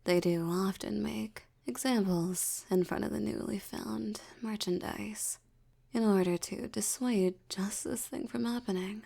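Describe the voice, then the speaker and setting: A woman playing a haughty, predatory female vampire in intimate audio roleplay, teasing and condescending, with a breathy murmur that slips toward a whisper. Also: mid-range voice, steady tone, warm tone. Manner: teasing, condescending, slow deliberate delivery.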